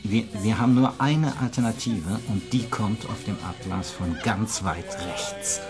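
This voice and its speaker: A man's voice: fairly low pitch, big pitch swings, measured pace. A male actor in a German radio play, playing a foul-mouthed, ranting drinker who spouts conspiracies in a coarse, emphatic way. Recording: music behind the voice.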